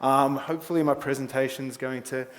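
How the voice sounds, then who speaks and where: A man's voice: medium pitch, fairly even intonation, brisk. Australian man speaking in an echoey hall. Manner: chatty, informal, self-deprecating. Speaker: corporate communications manager and conference speaker. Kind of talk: informal conference talk. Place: conference hall, on stage. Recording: echoey large hall sound.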